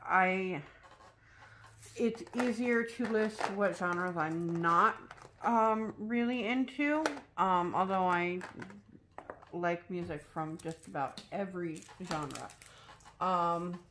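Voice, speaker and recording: A woman's voice: lowish voice, a bit bright, slight background noise. A woman from New Mexico with an American accent, chatting casually and hesitantly as she crafts. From New Mexico, USA. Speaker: host of a crafting vlog. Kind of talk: casual chat while crafting. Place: indoors at a craft table.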